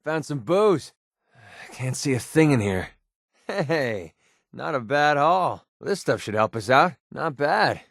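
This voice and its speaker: An actor voicing a wry, prickly young mercenary sniper with a hidden soft side in a video game, sarcastic and conversational. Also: male voice, a little high, slow pace, gravelly, dry recording, studio quality, exaggerated voice. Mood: grumbling. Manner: sarcastic, conversational, emotionally expressive. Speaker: video game companion character. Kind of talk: naturalistic video game voice acting.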